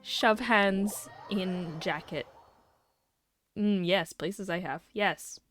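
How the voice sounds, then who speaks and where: A woman's voice: medium pitch, drawn-out words, a bit bright. A young woman doing casual live gameplay commentary as a streamer, playful and joking with mock-dramatic asides. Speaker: video game streamer. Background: faint to clear game music.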